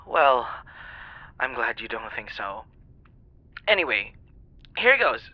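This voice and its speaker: A man's voice: fairly high pitch, dry recording. A man playing a flustered, apologetic young stranger on a phone call, rambling and hesitant in an intimate ASMR roleplay style. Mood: nervous, sheepish. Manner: hesitant, stammering, sighing, rambling.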